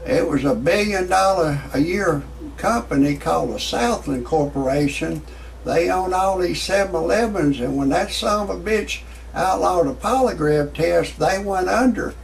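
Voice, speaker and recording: Gravelly, ranting man in his sixties. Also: high-pitched, moderate pace, a little hiss or hum, low-quality recording. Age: 60s. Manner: ranting, profane, grumbling. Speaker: comedy vlogger. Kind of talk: rambling comic monologue.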